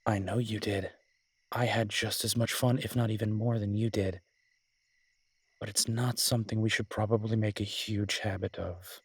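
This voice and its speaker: Male actor as devoted alpha werewolf lover for romantic audio drama: low, even, intimate, teasing and protective. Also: husky, dry recording. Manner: tender, teasing, unhurried pauses.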